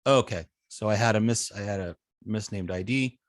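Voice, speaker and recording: A man working as an instructor, explaining a live coding demonstration step by step in an informal, halting way, thinking aloud as he works. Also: fairly low pitch, no room sound. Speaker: web development instructor.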